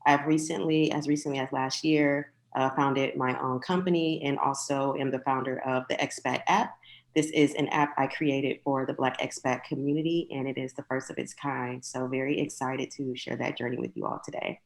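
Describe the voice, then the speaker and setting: A woman's voice: extremely low pitch, level tone, moderate pace, low-quality recording. An American woman in her thirties giving a polished but informal introduction of herself as a panelist. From Detroit, United States. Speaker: panelist, entrepreneur. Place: online video call.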